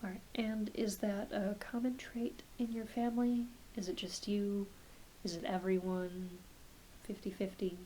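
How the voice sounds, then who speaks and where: A soft-spoken woman, often dropping into a whisper, gently playing a reassuring doctor in an ASMR roleplay. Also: mid-range voice, level tone, a little slow, low-quality recording. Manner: gentle, reassuring, hesitant questioning. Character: kindly, attentive doctor examining a patient. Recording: hissy close home recording.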